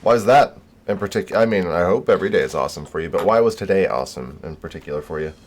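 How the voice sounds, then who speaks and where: Low-voiced casual male game streamer. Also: expressive, fast. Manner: laid-back, swears casually. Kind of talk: casual live stream chat.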